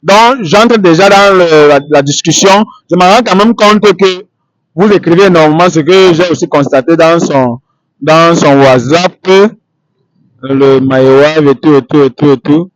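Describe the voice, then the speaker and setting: A man hosting an unscripted street interview, speaking in halting, repetitive phrases. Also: on the high side, no echo, low-quality recording.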